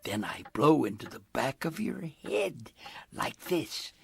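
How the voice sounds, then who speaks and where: A whimsical little elf storyteller from a children's cartoon. He speaks slowly and softly with a creaky edge and lively melodic swings, over clearly audible music. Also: medium pitch, exaggerated voice. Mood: gently mysterious. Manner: slow, soft-spoken, deliberate. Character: tiny whimsical male elf, sleep god, gently mysterious storyteller. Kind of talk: children's animated film narration. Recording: film clip with music underneath.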